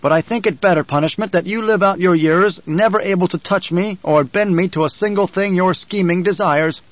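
A man's voice: high-pitched, a little fast, no echo, highs cut off, voice pushed up, close to an everyday voice. A man voicing a cold, defiant young nobleman in an audiobook, speaking in a controlled, declamatory way. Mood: angry command.

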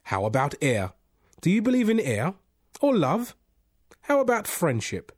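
A man's voice: mid-range voice, very animated, takes it slowly, no echo, clean studio sound, voice pushed up, heavily acted. A man voicing a scornful, teasing bodiless spirit who fires off riddling questions in a storybook. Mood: scoffing. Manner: scoffing, teasing, rhetorical questions. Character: mocking, laughing, bodiless male voice, scornful riddler.

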